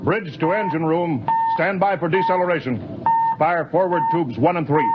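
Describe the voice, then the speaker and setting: An actor playing a brisk, grave spaceship captain giving clipped military orders in a 1950s radio drama. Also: male voice, on the high side, lightly acted. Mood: commanding. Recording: muffled old radio broadcast, busy background noise.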